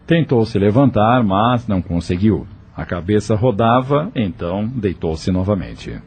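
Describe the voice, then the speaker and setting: A man's voice: fairly low pitch, very animated, a little slow, darkish tone, breathy edge. A man narrating a scripted audio novel as its storyteller. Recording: faint music behind.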